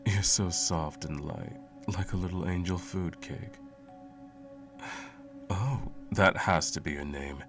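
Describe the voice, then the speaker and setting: A man playing a sadistic sorcerer in an ASMR roleplay, cooing mockingly and condescendingly at a captive pet in a low gravelly murmur. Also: slow, music in the background. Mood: gloating affection. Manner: teasing, cooing, condescending, theatrical.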